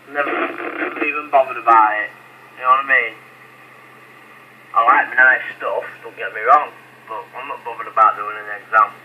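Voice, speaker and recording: Blunt man over CB radio. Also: mid-range voice. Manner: blunt, swearing, insistent. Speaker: CB radio operator and channel host. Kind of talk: argumentative radio chat. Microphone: CB radio handset. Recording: lo-fi CB radio transmission with static. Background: radio static hiss.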